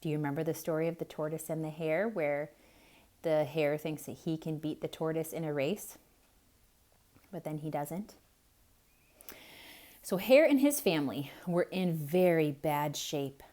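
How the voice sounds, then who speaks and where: A woman reading a picture book aloud to children in an expressive style, pausing to ask the listener questions. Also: sits low. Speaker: children's storyteller. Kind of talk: theatrical read-aloud for kids.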